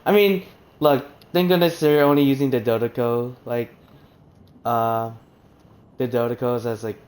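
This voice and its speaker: Brief: male streamer, mid pitch, slow laid-back talk, casual swearing reactions. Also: steady tone, darkish tone. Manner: casual, rambling, swears, laughs. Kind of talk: casual live gameplay commentary.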